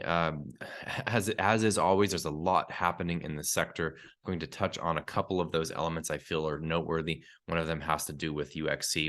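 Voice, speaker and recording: A man with a very deep voice walks listeners through a market update podcast, sounding stuffy and congested from a cold. He keeps an even, analytical delivery and drops in the odd "uh" as he goes. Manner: steady analytical delivery with fillers. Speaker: podcast host and newsletter publisher.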